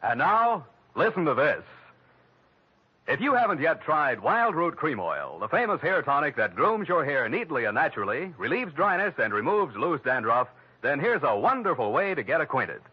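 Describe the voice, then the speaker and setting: A man's voice: fairly high pitch, big pitch swings, normal speed, husky. A man working as a polished, emphatic radio announcer, reading scripted advertising and credits in 1940s style. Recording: muffled vintage radio broadcast.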